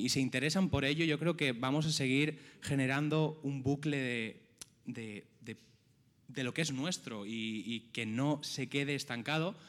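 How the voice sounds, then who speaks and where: Man, cast member, halting speech, many restarts, long hall echo. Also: medium pitch, a bit bright. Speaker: cast member of a zarzuela production. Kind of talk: press presentation remarks.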